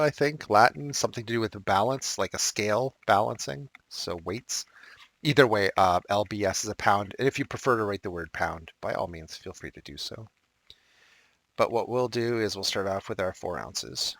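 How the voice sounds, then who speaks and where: Man, math teacher, low voice, dry close sound, slightly raspy, step-by-step explaining. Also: fairly bright tone, dry recording. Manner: explains step by step with asides and fillers. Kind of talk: recorded math lesson walkthrough.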